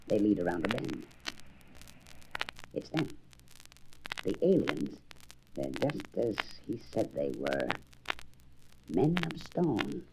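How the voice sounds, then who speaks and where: A man's voice: low-pitched, exaggerated voice. A man playing a frail, earnest, anxious doctor who thinks aloud dramatically in a comic-book audio drama. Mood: wary, wondering. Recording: faintly noisy old record.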